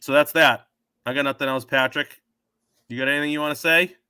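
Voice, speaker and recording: A hoarse man with a sore throat, rambling through his betting picks as a sports show host. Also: mid-range voice, steady tone, a little fast, pushed and bright, no room sound, clean studio sound. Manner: rambling, thinks aloud with many fillers and restarts. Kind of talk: casual betting picks talk. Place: home.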